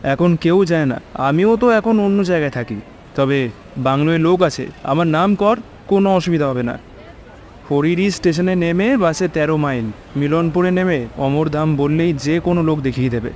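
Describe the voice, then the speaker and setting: Man acting a breezy, higher-voiced male friend. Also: moderate pace, darkish tone, a little hiss or hum, close to an everyday voice. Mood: breezy, reassuring. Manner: casual, persuasive. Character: breezy, reassuring young male friend in a cartoon.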